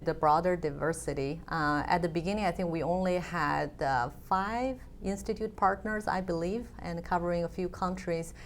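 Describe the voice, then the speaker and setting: A woman's voice: on the low side, moderate pace, hardly any pauses, a little hiss or hum. A woman, a guest in an interview, speaking thoughtfully with frequent fillers. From China. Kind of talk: conversational interview.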